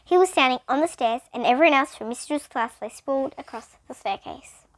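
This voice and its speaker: A woman's voice: sits high, a little fast. A female reader narrating a children's storybook aloud, with occasional explanatory asides.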